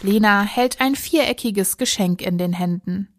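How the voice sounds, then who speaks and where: A female storyteller voiced by a woman with an average-pitched voice. She narrates scripted scenes for a children's radio play. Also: measured pace, slight background noise, lightly acted. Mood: narrating.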